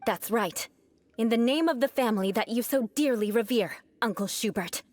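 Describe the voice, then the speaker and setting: A woman voicing a proud young noblewoman knight in a video game, composed and defiant, with formal, measured, coolly disdainful delivery. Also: fairly high pitch, a little mellow, natural voice. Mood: firm reproach.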